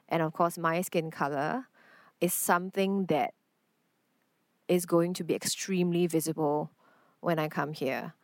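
A woman's voice: sits low, dry recording, clean studio sound. A woman from Singapore, an artist speaking as a guest in a reflective, earnest conversation.

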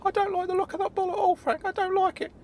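Really high squeaky fussy older character, nervy and grumbly, comic story, faint rumble behind. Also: male voice, level tone, fast. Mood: protesting. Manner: fussy, anxious, hushing and protesting. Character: fretful, indignant older companion Claudie, timid and grumbling. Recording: faint rumbling background noise.

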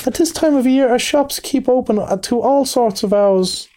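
Man putting on a shrill, polite little field mouse. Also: moderate pace, mellow, a little hiss or hum. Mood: respectful. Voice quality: thin, shrill. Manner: deferential. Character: small young field mouse, squeaky, polite and respectful.

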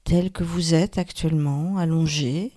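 Very slow-paced woman guiding relaxation. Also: deep voice. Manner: calm, soothing, with long pauses. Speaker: yoga nidra guide, host. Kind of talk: guided relaxation meditation.

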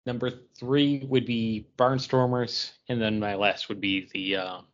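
A man's voice: medium pitch, fairly even intonation, measured pace, lo-fi sound. A man co-hosting a podcast, chatting casually about comic books in a joking, self-deprecating way. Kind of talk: casual comic book review chat.

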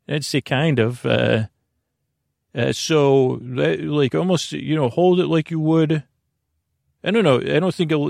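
A male podcast host talks in a creaky, fry-laden voice of medium pitch. He wanders through a soothing bedtime monologue, halting and drifting off into tangents. The sound is dry and close, with very little room in it. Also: no room sound. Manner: lulling, meandering, hesitant, halting with trailing tangents.

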